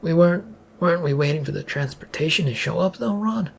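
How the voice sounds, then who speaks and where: An anxious, eager male officer put on by a narrator, pitched up and stumbling a little over words, husky, in a storybook reading. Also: brisk. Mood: unsure.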